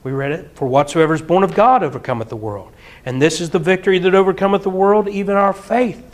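Animated middle-aged preacher, higher-pitched man. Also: fast talker. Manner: animated, gesturing, mock-singing and bursts of laughter. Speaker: pastor. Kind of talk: sermon. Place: church hall with projector screen.

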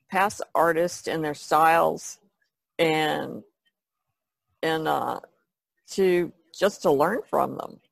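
A woman's voice: low-pitched, vocal fry, no echo. A woman artist speaking casually as a panelist in a podcast conversation. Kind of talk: casual chat.

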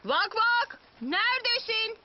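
A woman voicing an excitable, caring young boy hero in an animated children's cartoon dub, earnest and exclamatory. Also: extremely high pitch, takes it slowly, full character voice. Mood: urgent, excited. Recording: dull lo-fi audio with music. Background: cartoon music.

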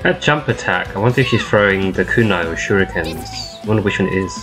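A man's voice: sits low, lively intonation, fast. A man giving casual live reaction commentary as a host, recorded on a laptop's built-in microphone. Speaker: host reacting to a game trailer. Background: faint trailer music.

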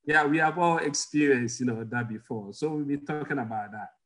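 A man's voice: medium pitch, fairly quick, noisy background. A middle-aged man from Ghana, a guest doctor telling personal stories in an interview over a video call from a home office. He chuckles often and puts questions to his audience.

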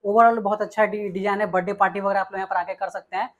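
A man's voice: high-pitched, flat delivery, racing. A young man from Bihar hosting a casual food vlog, talking straight to viewers as he tastes dishes and recommends them. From Bihar, India. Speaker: food vlogger. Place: small indoor restaurant dining room.

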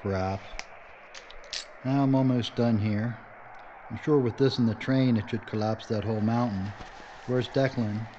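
A man's voice: mid-range voice, fairly even intonation, a little slow, pauses often, close to an everyday voice. A man acting as a determined filmmaker hero in a horror adventure drama, blunt and matter-of-fact. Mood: hurried. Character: gruff, determined male filmmaker turned action hero. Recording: dull audio with loud background noise.

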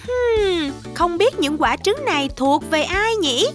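A woman's voice: very high-pitched, expressive, slow. A woman narrating a children's cartoon, exclaiming and putting questions to young viewers. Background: children's music.

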